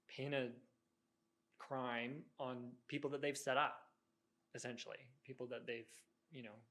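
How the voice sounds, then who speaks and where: A man giving a serious opinion in a discussion, deliberate and pausing between phrases. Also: medium pitch, fairly bright tone. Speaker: panelist.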